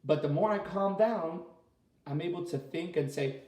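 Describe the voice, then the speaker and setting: A man leading a children's story time as a teacher, reading aloud and asking the listeners simple questions in an animated way. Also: high voice, normal speed. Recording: roomy, echoey sound.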